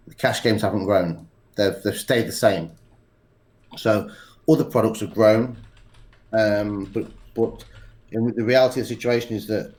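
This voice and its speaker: A man with a cold, speaking in a halting way with many pauses and restarts as a podcast interview guest. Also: fairly low pitch, darkish tone. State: congested with a cold. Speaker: poker executive guest.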